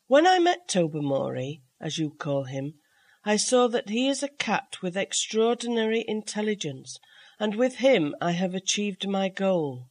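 Woman doing a patient, proud male scientist in a storybook, lively pitch, slow and measured. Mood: explaining. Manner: measured, explaining.

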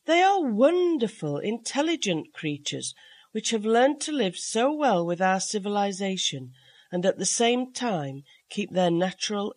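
Woman doing a patient, proud male scientist in a storybook, lively pitch, slow and measured. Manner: measured, explaining.

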